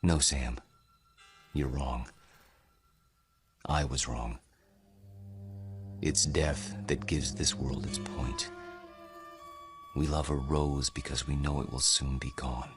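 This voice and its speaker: An actor playing a world-weary, grave and rueful immortal man in a radio drama, speaking in a measured, philosophical way. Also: extremely low pitch, fairly even intonation, full character voice. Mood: weary despair. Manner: measured, philosophical, deliberate delivery. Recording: radio drama with music underneath.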